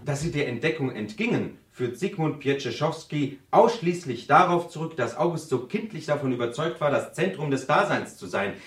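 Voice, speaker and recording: A man's voice: a little high, lively intonation, moderate pace, hardly any pauses, natural voice. An actor playing a zealous, argumentative male university student who lectures emphatically in a radio drama seminar. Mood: excited, emphatic. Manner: lecturing, emphatic, with theatrical build-ups. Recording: roomy, slightly distant studio acoustic.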